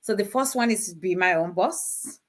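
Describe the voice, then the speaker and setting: A woman's voice: medium pitch, moderate pace. A woman from Nigeria, a guest on a live online show, answering casually and without hurry between small laughs.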